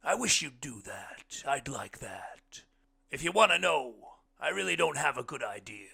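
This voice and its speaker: A man voicing an earnest, insistent blind man in an audiobook, speaking in loud, emphatic, folksy plain talk. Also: medium pitch, very animated, measured pace, vocal fry, husky, pitched above the performer's own voice, heavily acted. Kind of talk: audiobook character dialogue.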